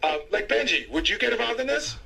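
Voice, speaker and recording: A man's voice: extremely high pitch, big pitch swings, fast, creaky, sharp tone, breathy edge. A man on a radio show staff, chatting casually as he reports to the host. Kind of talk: casual chat.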